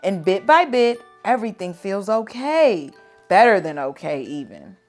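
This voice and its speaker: A woman reading children's picture books aloud in an expressive, dramatic and deliberate storytelling style. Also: mid-range voice, soft background music.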